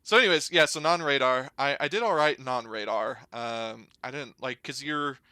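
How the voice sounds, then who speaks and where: Bright-voiced American man, informal and rambling. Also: mid-range voice, moderate pace, clean tone, dry recording. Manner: informal, rambling, hedging with slang. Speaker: podcast guest, air traffic controller. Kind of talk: casual conversational storytelling and explanation.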